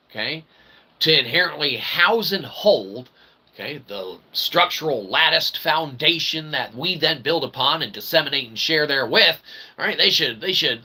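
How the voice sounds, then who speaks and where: A man's voice: fairly high pitch, pushed and bright, slightly rough, rough recording. A man delivering a rambling solo monologue in wordy, mock-formal phrasing, with theatrical swings in emphasis and repeated emphatic tags. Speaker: independent channel host and developer. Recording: noticeable background noise.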